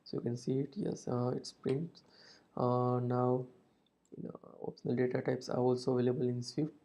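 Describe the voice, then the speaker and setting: A man's voice: medium pitch, steady tone, moderate pace, warm tone. A man whose first language is Hindi, explaining code step by step in a screen-recorded programming tutorial, with frequent "uh" fillers and non-native phrasing.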